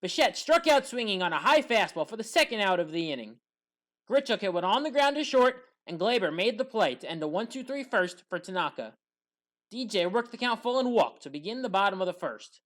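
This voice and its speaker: A woman narrating scripted sports highlights play by play as a commentator, leaning hard on the big moments. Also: big pitch swings, fairly quick.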